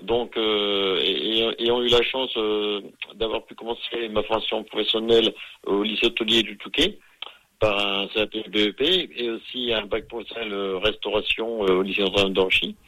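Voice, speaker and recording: A man from northern France, a chef interviewed on the radio, speaking haltingly with many fillers. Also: on the low side, steady tone, bright, forceful tone, no echo, rough recording. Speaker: school chef, guest.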